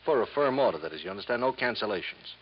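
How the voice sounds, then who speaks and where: A man's voice: lowish voice, animated, racing, lightly acted. An actor playing a middle-aged, crooked company boss in a radio drama, wheedling and folksy, with salesman patter full of tag questions. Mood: sly, selling. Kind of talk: 1950s radio drama acting. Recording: muffled, hissy 1950s radio recording.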